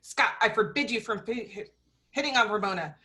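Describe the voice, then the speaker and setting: A woman acting a surly, bossy young woman in a bright, forceful voice. She scolds sharply, in a comedy screenplay read. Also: mid-range voice, brisk, lightly acted. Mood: surly, guarded.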